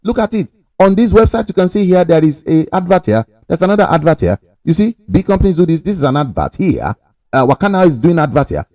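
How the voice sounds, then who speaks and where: A man's voice: on the high side, sing-song, quick pace, dark tone, dry recording. A young adult man giving a casual screen-recording tutorial. He talks straight to the viewer with pushy, salesman-like emphasis. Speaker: online money-making tutorial host.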